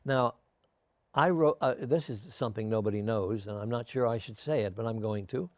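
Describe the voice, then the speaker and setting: Hoarse older man spinning wry stories. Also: fairly low pitch, moderate pace, no echo. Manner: genial storyteller, wry and self-deprecating. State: hoarse, losing his voice. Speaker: guest. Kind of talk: casual interview chat.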